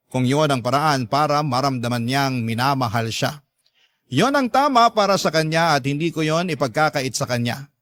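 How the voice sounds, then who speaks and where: A man's voice: fairly high pitch, fairly bright tone, no room sound, studio quality. A man playing a devoted, insecure lover who narrates his own story, earnest and sentimental, with a Filipino accent. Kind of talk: first-person dramatized story narration.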